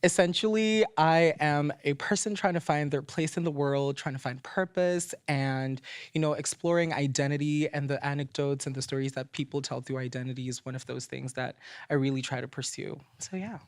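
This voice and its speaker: A woman's voice: low-pitched, fairly even intonation, moderate pace, no room sound. A young woman from Zimbabwe, a recent journalism graduate, introducing herself thoughtfully on a panel.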